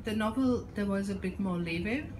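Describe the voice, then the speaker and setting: A woman author, a Bengali speaker, answers questions at a bookstore launch in a measured, hesitant way full of ums. Her pitch sits in a middle range for a woman. The live recording carries clear background noise. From India, Bengal. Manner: thoughtful, many ums and restarts. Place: bookstore event. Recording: noisy live event recording.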